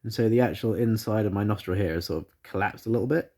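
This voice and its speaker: A man's voice: on the low side, fast talker, a little mellow. A man vlogging casually from his living room, rambling with fillers, still congested after the flu, recorded on a phone. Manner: rambling, many fillers and restarts. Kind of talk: casual chat to camera. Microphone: phone camera.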